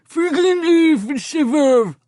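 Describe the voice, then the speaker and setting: A woman narrator putting on a silly ventriloquist voice, babbling slowly. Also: expressive, dark tone, no room sound, clean studio sound. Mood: mock solemn. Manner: slow, high, nonsense-sounding babble.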